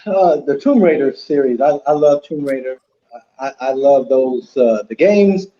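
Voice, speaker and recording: A man co-hosting a gaming podcast who talks hesitantly, with many pauses and restarts. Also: mid-range voice, mellow, muffled recording. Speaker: co-host. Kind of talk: casual chat.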